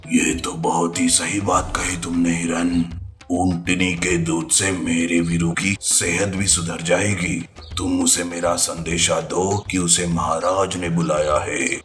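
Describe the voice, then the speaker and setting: A man voicing a devoted lion king and father in a children's animal fable, with a grave, measured delivery. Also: deep voice, flat delivery, fairly bright tone, faint music behind. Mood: relieved.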